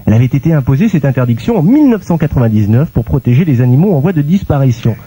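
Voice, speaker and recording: A man's voice: mid-range voice, sing-song, rapid-fire, mellow, slightly rough, no room sound, rough recording. A man presenting radio news and putting informal questions to his guest as interviewer.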